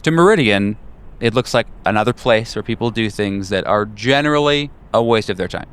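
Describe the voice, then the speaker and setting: A man's voice: lowish voice, lively intonation, brisk, fairly bright tone, clean tone. A man working as game master, narrating scenes in a tabletop horror game.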